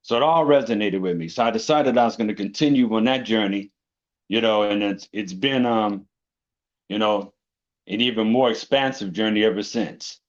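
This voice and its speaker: A man, a podcast guest, telling his spiritual journey in a relaxed, storytelling way, often checking in with "you know" and "right". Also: lowish voice, moderate pace, highs cut off. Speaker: interview guest. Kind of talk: casual conversation.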